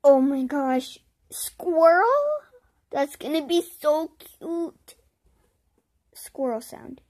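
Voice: high-pitched, extremely slow, a little mellow. A child hosting a casual video, trying out and showing a phone app. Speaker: video host.

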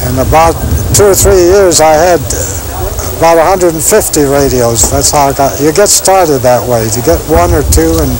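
An older man tells casual anecdotes as a collector. His voice is a little high, and the outdoor recording is clearly noisy. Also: normal speed. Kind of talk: casual anecdotal interview answer. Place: outdoor swap meet. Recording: noisy outdoor location sound.

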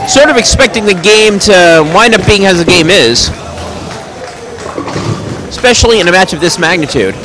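Loud, projecting male bowling commentator. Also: high-pitched, animated, moderate pace, long pauses, background noise. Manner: chatty, joking asides between calls. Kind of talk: live play-by-play commentary. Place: bowling alley.

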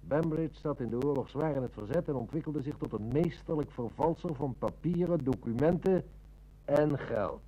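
A man playing a shrewd, dry, measured police inspector in a Dutch radio play. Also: fairly high pitch, lively intonation, warm tone, poor audio. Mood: businesslike.

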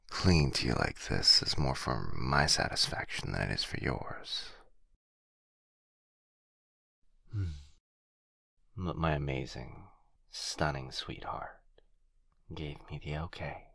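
Man acting a very deep, doting kitsune husband. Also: lively intonation, creaky, breathy edge, no room sound, full character voice. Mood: doting, intimate. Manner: soft, hushed, tender, halting delivery. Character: doting, obsessive male kitsune fox-spirit husband. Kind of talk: intimate ASMR boyfriend roleplay. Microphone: close binaural microphone.

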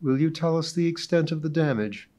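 A man reading aloud and voicing a stern, patient judge who speaks in a measured, authoritative way. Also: on the high side, darkish tone, pitched above the performer's own voice, close to an everyday voice. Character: stern weary male judge, dry and patient.

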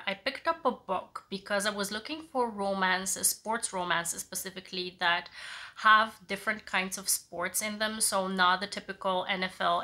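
Female book vlogger, thirties, bright forward tone with a stuffy head-cold sound, mid pitch, casual and chatty delivery. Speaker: book reviewer, channel host. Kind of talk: casual talk to camera reviewing books. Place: small living room, indoors.

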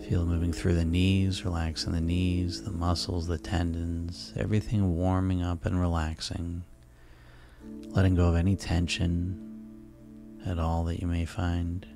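A man speaking as a hypnotist, guiding listeners into sleep in a soothing, unhurried, repetitive way over music. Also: deep voice, flat delivery. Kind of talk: guided sleep hypnosis narration. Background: relaxing background music.

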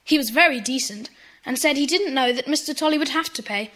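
An eager, polite British schoolboy speaks in a high voice. The delivery is earnest, in the manner of a children's audio play. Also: female voice, fairly quick, fairly bright tone. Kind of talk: children's audio drama acting.

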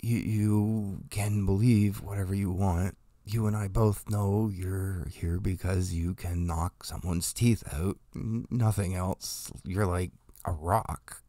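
A man's voice: deep voice, fairly even intonation, slow pace, hardly any pauses, warm tone, no room sound. A man voicing a smug, condescending chess player with a patronizing, needling manner. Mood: irritated, dismissive.

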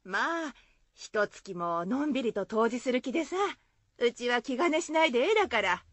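An actress playing a friendly, chatty rustic innkeeper in a radio drama, speaking folksy dialect. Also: female voice, a little high, expressive, normal speed, fairly bright tone, no echo. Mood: friendly, encouraging.

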